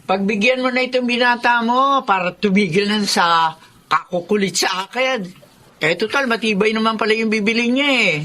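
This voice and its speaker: Worried Filipino mother, bright forceful voice. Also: fairly high pitch, normal speed. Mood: indulgent. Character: worried, emotional mother and wife. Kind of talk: Filipino radio drama acting.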